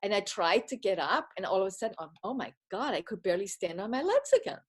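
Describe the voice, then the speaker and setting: A woman who grew up speaking Bavarian tells a personal story in English. She speaks at a middle pitch, reflective and earnest, often circling back and restarting her sentences. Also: lively intonation, fast, husky. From Bavaria, Germany. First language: Bavarian German. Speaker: retreat participant giving a testimonial.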